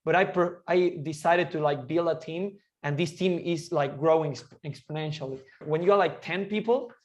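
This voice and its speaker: A man, talking eagerly and quickly as an interview guest, often restarting his sentences. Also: a little high. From Argentina. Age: 20s. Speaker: guest entrepreneur. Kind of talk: casual interview chat.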